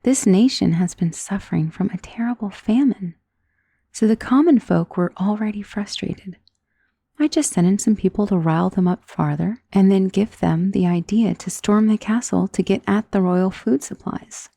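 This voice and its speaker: Husky ancient vampire lady acted by a woman, creaky, intimate, genteel, doting, possessive, ASMR roleplay. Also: medium pitch, dry recording. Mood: composed, explaining. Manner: soft, intimate, genteel, unhurried endearments, often dropping into whisper. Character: centuries-old female vampire, wealthy, doting, possessive, calmly commanding. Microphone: close microphone in a dry space.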